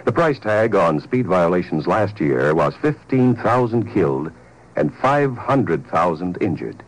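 A male announcer with a very low, rough-edged voice that rises and falls freely. He delivers a scripted fifties radio notice, grave and emphatic, on an old broadcast recording. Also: very animated, measured pace, poor audio. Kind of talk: 1950s radio public service announcement, scripted.

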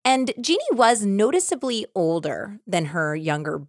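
A woman's voice: mid-range voice, big pitch swings, unhurried, dry recording, studio quality. A woman co-hosting a podcast, mixing casual chat with true-crime storytelling in a playful, teasing way. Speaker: podcast co-host.

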